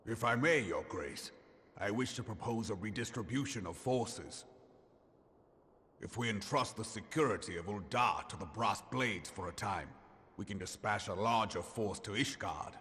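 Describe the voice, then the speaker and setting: Low-voiced, formal fantasy statesman. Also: expressive, fairly bright tone. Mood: formal, proposing. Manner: formal, deliberate. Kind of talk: dramatic fantasy game acting. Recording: long hall reverb.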